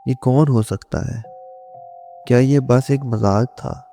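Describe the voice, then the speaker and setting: Male horror storyteller, dark mellow tone, unhurried suspenseful delivery, scripted first-person narration, faint music behind. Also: mid-range voice. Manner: slow, suspenseful, dramatic storytelling.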